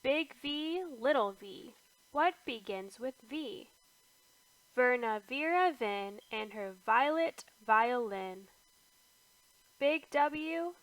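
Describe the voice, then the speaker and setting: A woman reads an alphabet picture book aloud for toddlers, her high voice rising on each capital letter and sinking to a near hush on the repeats. She takes everything very slowly, with lots of space between words. The sound is close and dry, with no room around it. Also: dry recording, low-quality recording. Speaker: children's book reader. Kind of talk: slow read-aloud for toddlers.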